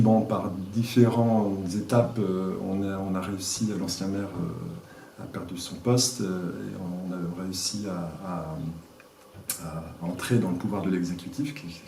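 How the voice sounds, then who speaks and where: A man answering interview questions as a village mayor, speaking informally and haltingly as he searches for words. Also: low voice, creaky, mellow. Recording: echoey room, distant mic, faint noise.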